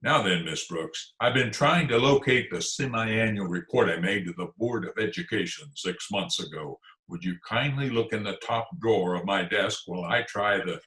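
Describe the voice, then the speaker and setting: A man's voice: fairly low pitch, normal speed, hardly any pauses, bright, forceful tone. A man playing a pompous, blustering and easily panicked school principal in old-time radio comedy style. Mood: bossy, irritated. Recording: echoey remote video-call recording.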